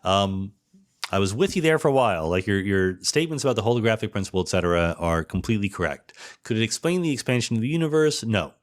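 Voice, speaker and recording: A man's voice: fairly low pitch, expressive, fast. A man giving an informal explanatory monologue as a podcast host, posing questions and answering them himself. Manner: explanatory, asks and answers own rhetorical questions. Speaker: podcast host, theoretical physicist.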